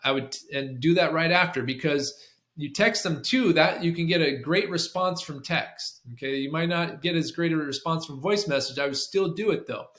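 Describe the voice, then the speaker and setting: A man giving an instructional walkthrough as a trainer, restarting phrases and often checking in with a quick question. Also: a little high, fairly bright tone. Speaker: sales call trainer for a clinic. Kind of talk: instructional screen-share walkthrough with demonstrated scripts. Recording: roomy, echoing room sound.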